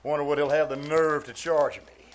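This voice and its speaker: An actor playing a pompous, blustery middle-aged uncle in a live 1940s-style radio comedy, easily flustered and full of hems and exclamations. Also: male voice, on the high side, little pitch movement, normal speed, close to an everyday voice. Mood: indignant. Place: live stage performance in a theater.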